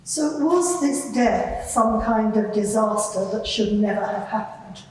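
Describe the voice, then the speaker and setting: A woman playing a measured, probing advocate who formally questions a witness in a courtroom drama. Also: mid-range voice, expressive, darkish tone, natural voice. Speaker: questioning lawyer at a dramatised inquiry. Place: large reverberant courtroom before a live gathering. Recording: echoey hall, distant mic, faint noise.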